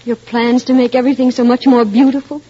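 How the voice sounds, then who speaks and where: A woman's voice: on the high side, flat delivery, fast talker, warm tone, breathy edge. An actress playing a sweet, admiring young small-town woman in a 1940s radio drama. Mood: admiring, tender. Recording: muffled 1940s radio recording.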